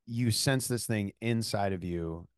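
A man with a lowish voice hosts a casual interview, feeling his way through questions with fillers and restarts. The sound is dry and close. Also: unhurried, clean tone, no room sound. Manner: hesitant, careful, many fillers and restarts.

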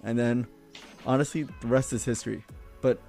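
A man's voice: medium pitch, fairly even intonation, normal speed, creaky, darkish tone, faint music behind. A man in his twenties hosting a casual podcast chat among friends. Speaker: podcast host.

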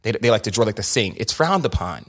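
Fast-talking young man, emphatic restarts. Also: on the low side, sing-song, a bit bright. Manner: rapid, emphatic, with many restarts and repeated words. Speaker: podcast host. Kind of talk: candid conversational storytelling.

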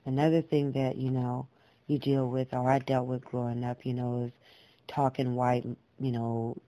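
A woman telling personal stories in a reflective, earnest, deliberate way, repeating phrases for emphasis, with clear, proper diction. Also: very deep voice, level tone, warm tone, dry recording, rough recording. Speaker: guest storyteller, poet and singer.

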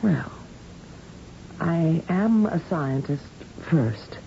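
A woman's voice: low voice, lively intonation, very slow, vocal fry, warm tone, full character voice. An actress playing a curious, thoughtful woman scientist in an old-time radio science fiction drama. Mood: questioning, serious. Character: curious, thoughtful female scientist, ageless space explorer. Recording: dull, noisy old radio recording.

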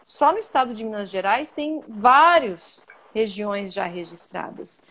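A Brazilian woman being interviewed on a live video call, telling stories in an animated, outspoken way. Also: mid-range voice, measured pace. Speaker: guest, coffee journalist and podcaster. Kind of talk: casual interview chat.

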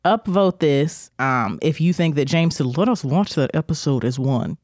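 A man co-hosting a casual podcast, full of dramatic, jokey banter. His voice rises and falls freely in pitch. The sound is close and dry, with no room around it. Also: lively intonation, normal speed, darkish tone, no room sound. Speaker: podcast co-host. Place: indoor room at home.

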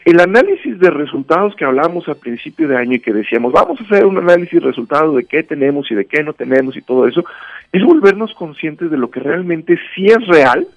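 A man's voice: fairly high pitch, lively intonation, quick pace, highs cut off. A man with a Mexican accent giving a conversational motivational talk as a radio guest, teaching emphatically with anecdotes and rhetorical questions. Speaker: guest commentator on a radio segment.

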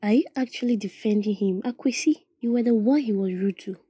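A woman acts a firm, indignant young girlfriend in a radio play. Her voice is mellow and husky. The sound is dry and close, with a cool, reproving delivery. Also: medium pitch, brisk, no echo, natural voice. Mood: indignant, earnest.

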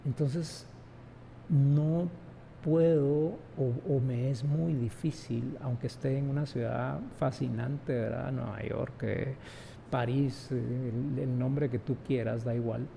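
A man's voice: mid-range voice, steady tone, takes it slowly, vocal fry, dark tone, slight background noise. A man, speaking emphatically as an interview guest and often adding rhetorical tag questions. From Guatemala. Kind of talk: reflective conversational interview.

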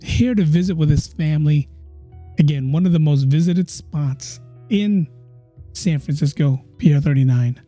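A man's voice: on the high side, measured pace, warm tone, faint music behind. A man hosting his own podcast, chatting casually and repeating phrases for emphasis. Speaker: podcast host.

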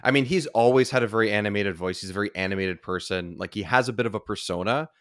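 A man's voice: on the low side, fast talker, a bit bright, no room sound, studio quality. A man in a casual podcast discussion, conversational, often repeating and rephrasing his points.

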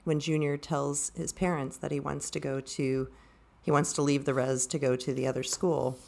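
A woman's voice: low-pitched, level tone, normal speed, creaky, darkish tone. A woman co-hosting a book discussion, thinking aloud in a casual, reflective way.

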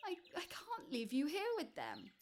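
A woman voicing a tiny, timid but crafty male farmer in a storybook tale, with a high trembling voice and hesitant, stammering pleas. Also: huge pitch swings, a little slow, slight background noise, heavily acted. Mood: nervous, pleading.

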